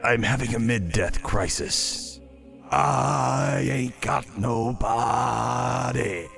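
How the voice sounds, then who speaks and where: A man voicing a grim, dryly sardonic undead servant of death in a video game, gravelly, ominous and deliberate. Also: medium pitch, loud music behind the voice, heavily acted. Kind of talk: video game unit voice lines.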